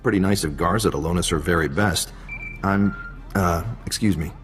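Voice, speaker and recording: A man voices a wry intelligence agent in a video game. His voice is deep and his manner casual and unhurried. It carries long reverb, with music behind it. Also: lightly acted. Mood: wry, relaxed. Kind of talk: video game cutscene dialogue.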